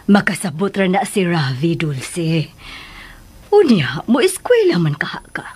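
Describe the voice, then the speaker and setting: A woman acting a middle-aged, motherly confidante who gives calm advice, in a Filipino radio drama with a Filipino accent. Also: lowish voice, unhurried, breathy edge, heavily acted. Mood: advising. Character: motherly older confidante, called Ma'am, advising calmly.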